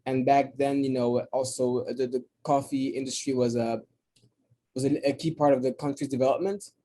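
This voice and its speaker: A man's voice: medium pitch, steady tone, normal speed, dark tone. A man in his thirties, from DR Congo, explaining his coffee business in a casual interview, thoughtful and prone to restarts. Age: 30s. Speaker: coffee importer guest. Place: New York City.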